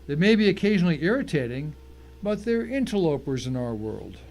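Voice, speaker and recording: A man's voice: a little high, sing-song, fairly quick, gravelly, a little mellow. A man giving a dramatic first-person reading of a short story as its narrator. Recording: faint noise and ambience behind.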